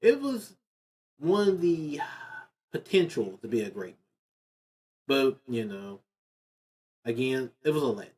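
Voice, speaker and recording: A man's voice: medium pitch, very animated, unhurried, pauses often, warm tone, breathy edge. A man giving casual, unscripted commentary as a video host, rambling and emphatic, often repeating his phrases. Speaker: video host ranking video game movies.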